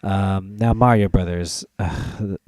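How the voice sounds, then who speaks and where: Low, mellow man in casual banter. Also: a little slow, no echo, clean studio sound. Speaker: podcast host. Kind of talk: casual banter about films.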